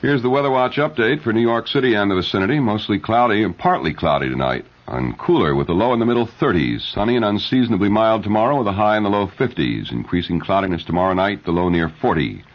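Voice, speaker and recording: A man reading news and ads as a radio newscaster in a measured 1970s announcer style. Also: fairly low pitch, expressive. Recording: dull, lo-fi 1970s radio broadcast recording.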